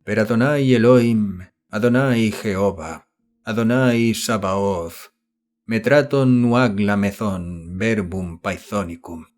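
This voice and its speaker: A man voicing a young male occultist in an audiobook, intoning a solemn ritual incantation slowly and monotonously. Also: fairly low pitch. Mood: solemn, incantatory. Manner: slow, monotonous, intoned chanting.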